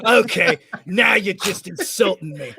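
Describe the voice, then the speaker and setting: A man acting a brash, combative space adventurer with a raspy voice in a comedic script read. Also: high-pitched, expressive, a little slow, bright, forceful tone, no echo, heavily acted. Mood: defiant.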